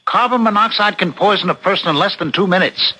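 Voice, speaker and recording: An actor playing a grave, insistent attorney and husband in a 1970s radio drama. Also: male voice, sits high, fast talker, bright, forceful tone, exaggerated voice, poor audio. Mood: firm, insistent. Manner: emphatic, insistent.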